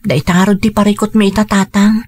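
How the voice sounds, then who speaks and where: A woman acting a gentle, caring family woman in an Ilocano radio drama, speaking in an earnest, measured way. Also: medium pitch, little pitch movement, husky, lightly acted. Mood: concerned.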